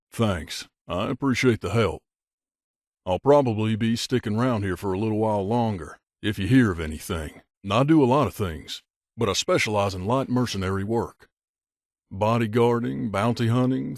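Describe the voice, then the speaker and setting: A man's voice: fairly low pitch, darkish tone, no room sound, studio quality, natural voice. A man playing a middle-aged mercenary bodyguard in a video game, a blunt and guarded loner who talks in a terse, matter-of-fact, aloof way. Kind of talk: video game character dialogue.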